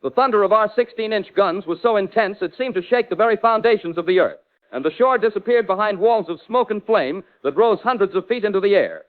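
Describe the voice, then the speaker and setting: Shaken 1940s American war reporter, male actor: high voice, urgent, dramatic, thin phone-like radio transmission. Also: lively intonation, brisk. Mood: awed, urgent. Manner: urgent, dramatic, florid eyewitness delivery. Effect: radio transmission filter. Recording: tinny, narrow wartime radio link.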